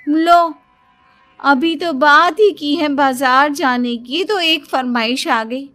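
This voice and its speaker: A woman's voice: high-pitched, slow, bright, forceful tone, exaggerated voice. A woman voicing a self-pitying young daughter-in-law in a cartoon moral story, with a whiny, dramatic, aggrieved manner. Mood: sarcastic, irritated.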